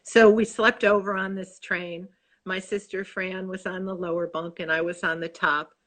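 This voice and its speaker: A woman's voice: on the low side, flat delivery, moderate pace, fairly bright tone. A woman in her sixties with a Chicago accent, an author talking about her book in an effusive, rambling interview over a video call. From Chicago, USA. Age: 60s. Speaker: author guest. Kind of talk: casual interview chat.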